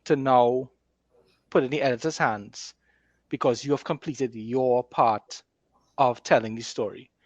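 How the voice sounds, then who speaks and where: A man's voice: mid-range voice, measured pace, no room sound, dull audio. A man hosting a casual conversation as an author, explaining things with enthusiasm. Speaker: host, author. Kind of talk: casual conversational talk about writing.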